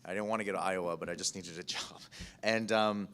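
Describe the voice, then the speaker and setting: A man's voice: low voice, fairly even intonation, fairly quick, a bit bright, smooth. An American man speaking informally and conversationally as a podcast producer on a panel. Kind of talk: casual panel discussion.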